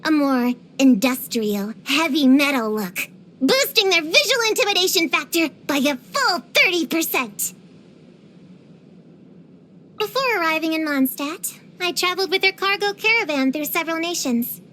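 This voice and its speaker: A woman's voice: very high-pitched, lively intonation, moderate pace, a bit bright, a little hiss or hum. A woman voicing a precocious, feisty little girl in a video game, earnest and theatrical with grown-up wording. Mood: earnest, chatty. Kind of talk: scripted video game character voice lines.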